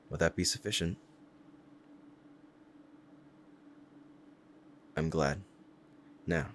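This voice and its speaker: A man's voice: low voice, a bit bright, slight background noise. A man playing a powerful demon devoted to a beloved master, speaking formally and earnestly in a romantic ASMR roleplay. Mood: tender, formal. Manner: formal archaic diction, earnest and reverent.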